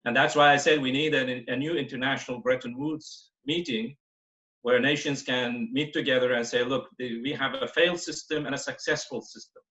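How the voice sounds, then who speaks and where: A man's voice: mid-range voice, expressive, moderate pace, sharp tone. A man speaking as a panelist in a webinar, laying out his argument earnestly in short lists. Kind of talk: spontaneous argument in a panel discussion. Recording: dull video-call audio.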